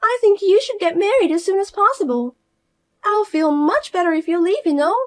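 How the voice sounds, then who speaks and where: A woman voicing a spoiled, arrogant sister-in-law who talks down to everyone in a shrill, scolding way. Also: expressive, normal speed. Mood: indignant. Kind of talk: audio story voice acting.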